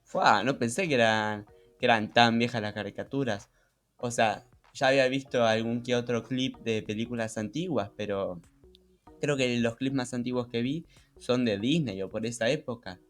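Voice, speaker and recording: Man, Rioplatense accent, medium pitch, casual podcast co-host, conversational, faint music behind. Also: normal speed. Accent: Rioplatense Spanish. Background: background music.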